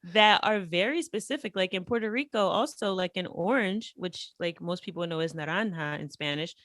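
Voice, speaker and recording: A woman from the United States, a guest on a podcast, telling stories in an animated, chatty way with many fillers. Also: fairly low pitch, normal speed, dry recording, studio quality. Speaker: podcast guest, language teacher. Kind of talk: casual interview storytelling.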